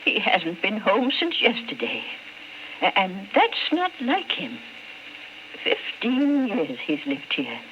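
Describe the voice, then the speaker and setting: A kindly, fretful rooming-house landlady in a vintage radio drama. Her pitch moves in lively swings, and her delivery is halting and deferential. The old recording is muffled, with faint noise underneath. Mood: worried, fond.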